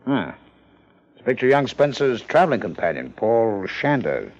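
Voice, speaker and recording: A man's voice: medium pitch, big pitch swings, moderate pace, a little mellow, highs cut off. An American man acting a shrewd, reflective police lieutenant in a 1950s radio drama, conversational and musing. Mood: puzzled, musing.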